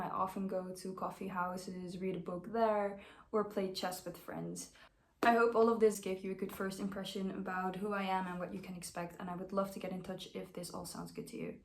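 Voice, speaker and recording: A woman's voice: medium pitch, little pitch movement, normal speed. A woman in her twenties from the Netherlands, speaking English, giving an earnest, conversational self-introduction. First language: Dutch. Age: 20s. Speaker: au pair applicant. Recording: roomy echo.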